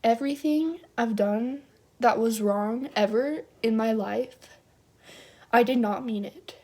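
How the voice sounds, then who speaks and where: Slow, mock-sorrowful young woman. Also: a little high, darkish tone. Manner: slow, mock-tearful, overly earnest. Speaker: youtuber. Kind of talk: parody apology video.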